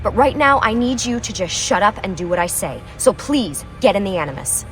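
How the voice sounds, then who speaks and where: A woman's voice: mid-range voice, sing-song, a little fast, close to an everyday voice. Woman playing a bossy urgent young rescuer, game cutscene, terse, music playing behind. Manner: terse, commanding. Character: urgent, commanding young woman leading an escape. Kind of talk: video game cutscene acting.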